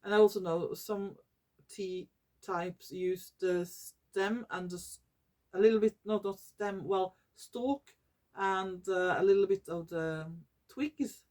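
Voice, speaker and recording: A Norwegian woman vlogging in English, her second language, often pausing to search for words and correcting herself. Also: mid-range voice, darkish tone. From Norway. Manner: slow and searching for English words, many fillers and self-corrections. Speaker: YouTuber. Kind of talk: casual talking-head vlog.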